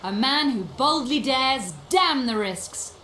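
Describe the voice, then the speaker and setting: A woman voicing a brisk, sly female circus leader in a video game, theatrical, haughty and sharp-witted. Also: high voice, animated, slight background noise, full character voice. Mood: showy, proclaiming. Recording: echoey game scene with music.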